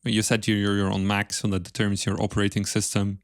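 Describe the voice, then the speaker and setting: A man hosting a podcast interview, asking questions in a polite, measured, conversational way. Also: fairly low pitch, completely flat delivery, smooth, no room sound. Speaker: podcast host.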